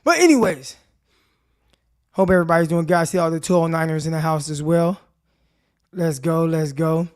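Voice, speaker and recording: Man, raspy dry voice, fairly high pitch, laid-back slangy sports talk, live stream host. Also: moderate pace, long pauses. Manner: laid-back slangy chat addressing viewers directly. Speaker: podcast host. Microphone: desk mic with earbuds in.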